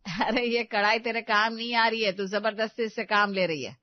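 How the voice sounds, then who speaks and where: A woman's voice: fairly high pitch, steady tone, normal speed, no room sound, dull audio, natural voice. A woman voicing a bossy village mother-in-law. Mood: scolding. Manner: scolding, instructive, exclaiming.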